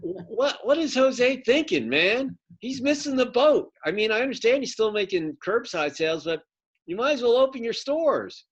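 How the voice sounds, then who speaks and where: A man's voice: extremely high pitch, animated, moderate pace, breathy edge. A man hosting an interview, telling a rambling story with many fillers. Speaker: interview host. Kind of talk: casual interview chat. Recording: dull lo-fi audio.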